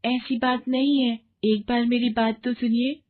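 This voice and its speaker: Lady doing a poor little orphan girl for a cartoon, really high earnest voice, bit muffled recording. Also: flat delivery, unhurried. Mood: pleading. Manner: pleading, earnest. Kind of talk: Hindi moral cartoon story acting.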